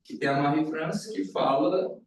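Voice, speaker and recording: A man's voice: on the high side, unhurried. Brazilian man in a very echoey room. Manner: hesitant, circling back with frequent tag questions. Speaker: meeting speaker. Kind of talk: informal explanation at a meeting. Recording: echoey room, distant mic, faint noise.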